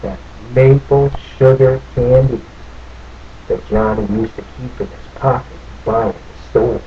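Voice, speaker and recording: A man reading a children's picture book aloud in a folksy, playful, drawn-out way with little asides. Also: mid-range voice, muffled recording, slight background noise. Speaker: storybook reader.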